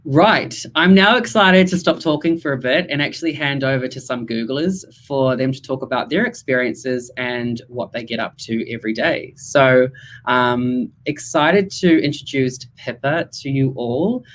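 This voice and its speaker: A man hosting an online careers session, speaking in a relaxed way with many fillers. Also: mid-range voice, normal speed, slight background noise, rough recording. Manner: relaxed, filler-heavy, with "um" and "you know". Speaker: event host.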